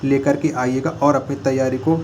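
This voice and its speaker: Man, promotional pitch, flat intonation, faint ambient noise behind. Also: normal speed.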